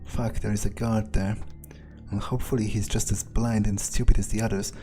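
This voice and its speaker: A man thinking aloud in character as he plays a video game, muttering deliberately and swearing now and then. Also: on the low side, flat delivery, dark tone, faint music behind. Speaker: gamer doing an in-character playthrough.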